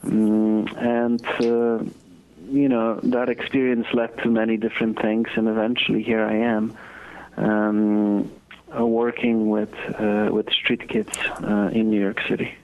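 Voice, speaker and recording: Low-voiced Polish-accented man, dull recording. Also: monotone, slight background noise. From Poland. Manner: measured, halting, many fillers. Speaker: guest, foundation co-founder. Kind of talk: reflective interview answers. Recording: dull lo-fi audio.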